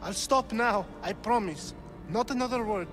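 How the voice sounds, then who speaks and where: A high-pitched young man pleads like a frightened coward as an actor voices him. He is a poor, meek artist in a video game, begging for mercy with music behind him. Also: normal speed, close to an everyday voice. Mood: frightened pleading. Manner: pleading, fearful. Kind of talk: video game cutscene acting.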